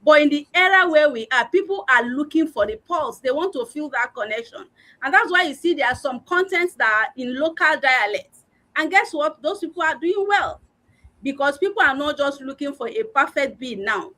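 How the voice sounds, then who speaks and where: A woman speaks on a video call with a bright, clear edge to her voice. She talks earnestly and personally as a panelist in an online discussion, pausing on frequent filler words. Her voice sits high. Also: expressive. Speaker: learning and development head. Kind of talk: earnest motivational talk.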